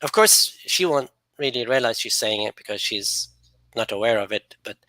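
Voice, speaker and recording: A middle-aged man whose first language was Arabic, hosting a relaxed livestream, rambling and reading out viewer comments. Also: mid-range voice, moderate pace, no room sound. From grew up speaking Arabic and English, then England and Norway. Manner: relaxed, rambling, teasing with frequent laughs. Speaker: channel host reading live chat. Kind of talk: casual livestream chat.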